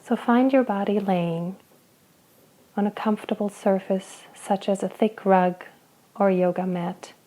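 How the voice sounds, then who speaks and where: A woman guiding a body scan meditation, speaking slowly and gently with long pauses between phrases. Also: medium pitch, steady tone, low-quality recording. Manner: slow, soft, gentle guidance with long pauses. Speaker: meditation guide.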